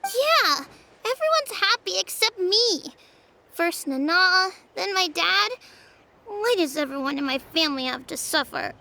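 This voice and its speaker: A sulky young boy, whiny and glum. His voice is very high and bright. Faint game music plays behind. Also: big pitch swings, unhurried, slight background noise, full character voice. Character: sulky young boy upset about family.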